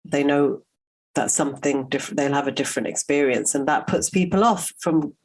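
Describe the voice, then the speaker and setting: A woman's voice: low-pitched, a little fast, darkish tone. A woman hosting a podcast interview, talking in a thoughtful, searching way with frequent fillers. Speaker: podcast host. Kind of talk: conversational interview.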